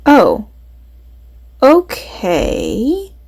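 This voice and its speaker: A woman's voice: high-pitched, huge pitch swings, extremely slow, creaky, a little mellow, husky, full character voice. A woman playing a trusting, candid young woman who is a friendly confidante in an audio drama. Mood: uneasy.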